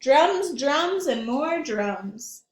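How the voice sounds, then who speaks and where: A woman's voice: high-pitched, animated, drawn-out words, heavily acted. A woman reading aloud as a spirited young boy in a storybook, earnest and emphatic. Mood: proud, eager. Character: spirited young boy longing for his own name. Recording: echoey room.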